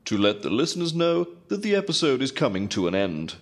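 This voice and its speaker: An actor playing a haughty, world-weary British radio announcer in a comedic audio drama. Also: male voice, mid-range voice, sing-song, fairly quick. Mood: disdainful. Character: snooty, disdainful British male radio announcer. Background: music.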